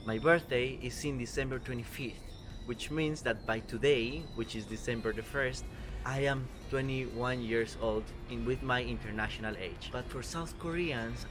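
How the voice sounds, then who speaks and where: 20s guy from costa rica, earnest careful english, clear music bed behind. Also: medium pitch. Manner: earnest, careful non-native English with small grammar slips. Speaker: vlogger. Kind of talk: explanatory travel vlog. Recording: music playing underneath.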